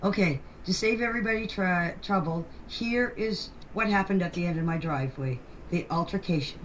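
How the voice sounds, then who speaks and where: A woman's voice: lowish voice, moderate pace. Lady sending voice clips, blunt and kinda defensive, bit of noise behind her. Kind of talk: informal recounting in voice messages. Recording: faintly noisy voice-message recording.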